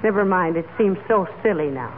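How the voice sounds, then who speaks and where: An actress playing an affectionate middle-aged housewife in 1940s radio comedy, gentle and chatty. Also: female voice, on the low side, expressive, brisk, a little hiss or hum, natural voice. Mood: sheepish, amused. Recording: tinny old radio broadcast, studio audience.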